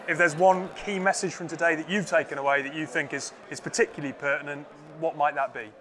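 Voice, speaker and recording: A man's voice: on the high side, fairly even intonation, fast talker, fairly bright tone. A man, interviewing guests at a tech event in a chatty, personal way. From North East England. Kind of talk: conversational interview.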